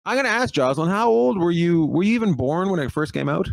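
Guy in his 40s hosting a comedy video call, gravelly, swoops up and down, teasing everyone. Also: sits high, lively intonation, fast. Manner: teasing, mocking. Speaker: comedy show host. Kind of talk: joking video-call panel.

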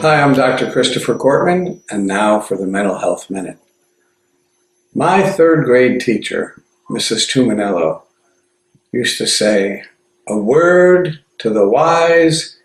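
A man who is a therapist presenting a short scripted radio segment in a measured way. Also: mid-range voice, big pitch swings, reverberant, low-quality recording.

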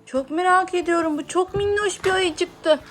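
A woman's voice: very high voice, moderate pace, over loud music. A woman playing toys with her young son, narrating playfully for children and encouraging him with questions.

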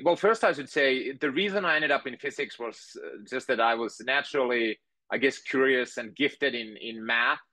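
A man, telling his story in a measured, thoughtful way as a podcast guest on a video call. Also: fairly low pitch, bright, forceful tone, no echo. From Finland. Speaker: guest entrepreneur. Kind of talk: interview conversation. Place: home room with bookshelves.

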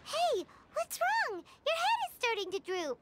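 A woman voicing a tiny, chatty, fussy fairy companion girl with a squeaky childlike voice in a video game. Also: huge pitch swings, measured pace, very noisy, full character voice. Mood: fussy concern. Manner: speaks of herself in third person.

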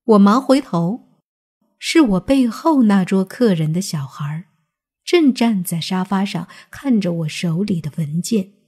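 A woman narrating a scripted suspense audiobook in a measured, deliberate storytelling manner. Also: mid-range voice, animated, mellow, husky. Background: background music.

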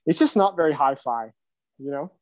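A man's voice: fairly high pitch, animated, moderate pace, no echo. A man in his thirties giving an informal hands-on tutorial, talking casually and thinking aloud as he demonstrates. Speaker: workshop instructor. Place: indoor home studio room with tape gear.

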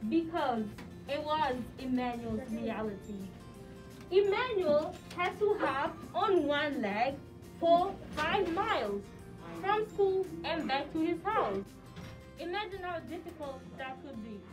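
Young woman, high voice, teaching children, step-by-step, distant mic, music behind. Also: very animated, slow. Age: young adult. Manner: clear step-by-step directions to children. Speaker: student teacher leading a craft activity. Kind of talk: instructing children. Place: small classroom indoors. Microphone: far camera.

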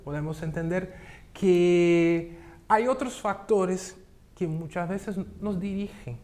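Slow, halting man in a TV studio. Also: high-pitched, darkish tone. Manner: slow, thoughtful, halting with repeats. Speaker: studio guest. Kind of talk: studio interview talk. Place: TV studio set.

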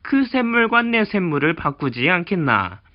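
Greedy old village man, put on by a man, high strained wheedling voice, loud, pleading, slightly dull lo-fi sound. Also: sing-song, normal speed. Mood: coaxing.

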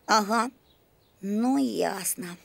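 A woman voicing an earnest, excitable schoolboy in an animated cartoon, boyish and a little hesitant. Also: medium pitch, extremely slow, gravelly, very noisy. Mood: awkward.

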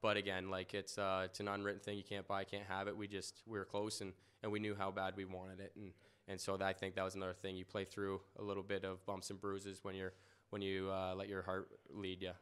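A young man answering reporters after a game in an indoor press room, humble and halting, with many fillers and restarts. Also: low voice, completely flat delivery, a bit bright, smooth. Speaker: lacrosse goalie. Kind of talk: post-game press interview. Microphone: podium mic in front.

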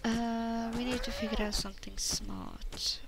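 A woman's voice: a little high, wildly swooping pitch, unhurried, gravelly, over loud music, low-quality recording. A woman streaming a crafting game, chatting casually, muttering to herself and answering chat questions. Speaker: gameplay streamer. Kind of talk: casual let's-play commentary.

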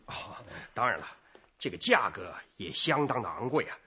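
A self-important general manager in a radio crime drama. His mid-pitched voice is very husky and forceful, with an official, explanatory delivery. The sound is a little dull. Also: sing-song, moderate pace, exaggerated voice. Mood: explaining.

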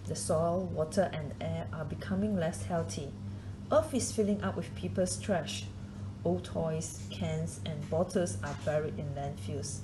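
A woman reading a children's nonfiction book aloud, deliberately and phrase by phrase. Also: deep voice, noisy background.

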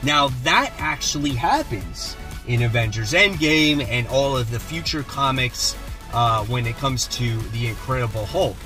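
A man's voice: medium pitch, unhurried, over music. Chatty man talking in a parked car. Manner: relaxed, chatty, enthusiastic about his finds. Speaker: comic book channel host. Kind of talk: casual vlog chat. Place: parked car, then outdoors and inside a shop. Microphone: phone camera at arm's length.

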